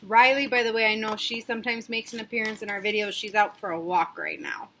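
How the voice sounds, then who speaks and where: Gentle woman teacher reading aloud. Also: medium pitch, expressive, fairly quick, a little hiss or hum, poor audio. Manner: gentle, asks the children questions. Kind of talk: read-aloud for children.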